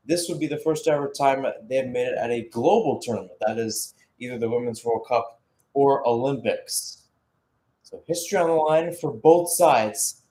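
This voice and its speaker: A man's voice: mid-range voice, dark tone, rough recording. Male football play-by-play commentator. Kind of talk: live radio-style sports commentary.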